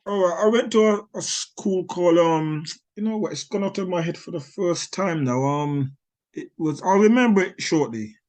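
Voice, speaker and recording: A man's voice: sits high, normal speed, darkish tone. A man in his sixties, born in Barbados, answering interview questions as an author, rambling with many restarts and small chuckles. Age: 60s.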